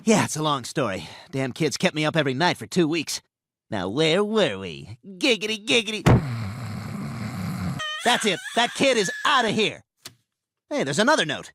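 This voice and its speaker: A man voicing a sleazy, crude, excitable bachelor in an animated comedy. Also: a little high, moderate pace, long pauses, a little hiss or hum. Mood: exasperated.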